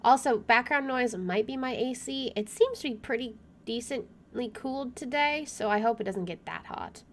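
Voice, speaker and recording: High-voiced, chatty young woman. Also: moderate pace, a bit bright, a little hiss or hum. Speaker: game streamer. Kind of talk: casual chat and reading visual novel text aloud.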